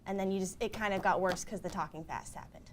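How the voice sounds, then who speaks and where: A woman's voice: medium pitch, fast, slight background noise. A woman producer asking a guest questions on a live show, bubbly and giggly.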